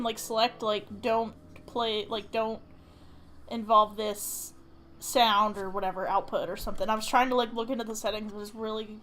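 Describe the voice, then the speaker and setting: A young woman chatting casually and haltingly during a game stream, informal and prone to giggles. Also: a little high, a bit bright.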